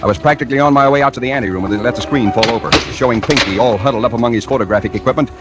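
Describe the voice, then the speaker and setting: An actor playing a brash, wisecracking American newspaper reporter, narrating in a hardboiled, sardonic 1940s radio noir style. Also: male voice, mid-range voice, racing, close to an everyday voice. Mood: wry, suspenseful. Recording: vintage 1940s radio drama with music.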